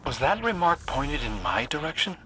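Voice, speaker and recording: Video game newcomer scout: compassionate, dryly witty, forceful bright delivery over light background noise. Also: male voice, on the high side, very animated, moderate pace. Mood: wry. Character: wry, compassionate young newcomer scout, male.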